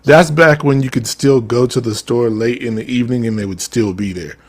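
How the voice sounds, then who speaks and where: A man's voice: mid-range voice, fairly quick. A man hosting a podcast, chatting in an easygoing, agreeable way. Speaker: podcast host. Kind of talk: casual chat.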